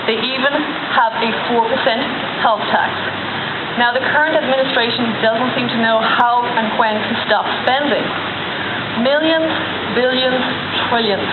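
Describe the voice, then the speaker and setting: A woman from Ireland with an Irish accent, giving a rousing speech at an outdoor political rally and putting questions to the crowd. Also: fairly high pitch. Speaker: rally speaker. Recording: echoey, tinny, loud noise.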